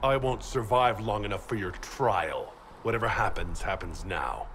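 An actor playing a resolute, direct warrior held prisoner in a fantasy game. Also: male voice, fairly low pitch, normal speed, fairly bright tone, a little hiss or hum, lightly acted.

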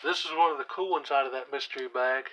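A hoarse man casually walking through his comic book collection, reading out titles with offhand asides while he sits on the floor at home. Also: mid-range voice, quick pace, sharp tone, rough recording. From US South. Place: indoors at home, seated on the floor by a shelf. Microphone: camera aimed at the shelf, speaker off screen.

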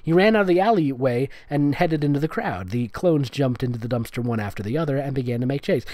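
A man's voice: medium pitch, lively intonation, fast talker, darkish tone, no room sound. A man giving a comedic dramatic reading, with sarcastic, nitpicking asides as the host. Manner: sarcastic, nitpicking, breaking into giggles. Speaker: host reading fan fiction aloud.

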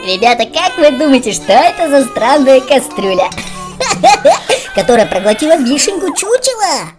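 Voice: high voice, big pitch swings, moderate pace. A performer voicing a playful cartoon lion who hosts a children's game let's-play, exclaiming and talking to young viewers. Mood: amused, curious. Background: children's game music.

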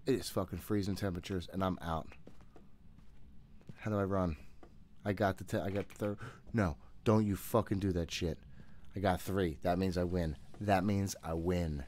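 A man's voice: low-pitched, steady tone, moderate pace, a little mellow, slight background noise. A man in his thirties giving casual, jittery, profane gameplay commentary, often talking to himself. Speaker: gaming host. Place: room at home.

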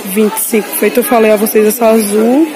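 A woman's voice: medium pitch, little pitch movement, fairly quick. A woman with a Northeastern Brazilian accent chatting casually to viewers as she shows items at a busy market, informal and often repeating details. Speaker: vlogger showing market clothing stalls. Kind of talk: casual shopping vlog chat to viewers. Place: busy street clothing market. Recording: busy crowd noise all around. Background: loud market crowd.

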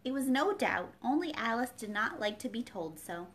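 Young woman storyteller, slightly high voice. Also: very animated, moderate pace, a bit bright. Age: young adult. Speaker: children's library storyteller. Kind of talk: reading a children's novel aloud. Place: small furnished room at home, indoors.

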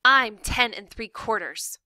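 A woman voicing an excitable, defiant ten-year-old girl in a story, indignant, dramatic and argumentative. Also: fairly high pitch, takes it slowly, dry recording, clean studio sound, pitched above the performer's own voice, heavily acted.

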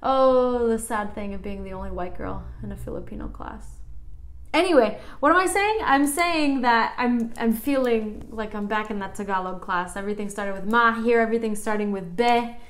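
A woman's voice: a little high, moderate pace. A young American woman in her twenties, vlogging casually from her living room. She chats in a self-deprecating way, with lots of "like" and laughter. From United States. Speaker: vlogger learning Basque. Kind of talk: casual chatty vlog. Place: living room at home, indoors.

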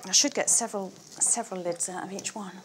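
Woman presenter, mid-pitched, chatty demonstrator, faint room hiss. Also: fast, fairly bright tone. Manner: chatty, laughing, explains as she works. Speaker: historian presenter. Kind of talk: casual demonstration to camera.

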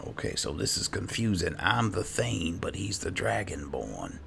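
A man's voice: low voice, big pitch swings, moderate pace, creaky, pushed and bright, slight background noise. A man hosting a gaming video, giving casual, chatty, hesitant roleplay commentary as he plays.

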